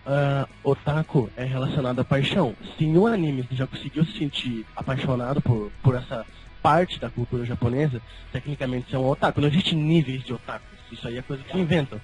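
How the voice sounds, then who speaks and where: A man's voice: medium pitch, fast, a little mellow, faint music behind, poor audio. A man co-hosting a casual podcast, arguing his opinions. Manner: argumentative, opinionated. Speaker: podcast co-host.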